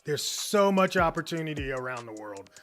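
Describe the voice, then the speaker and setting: An American man with a fairly high voice delivers an upbeat motivational coaching talk. He keeps tagging his points with a quick "right?". Soft music plays low behind him. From United States. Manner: energetic, tags lines with "right?". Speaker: business coach. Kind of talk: motivational teaching monologue.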